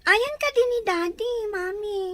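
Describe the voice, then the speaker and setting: A woman's voice: very high-pitched, a little slow, fairly bright tone, no room sound. A woman voicing a small girl who misses her father, asking pleading questions. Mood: curious.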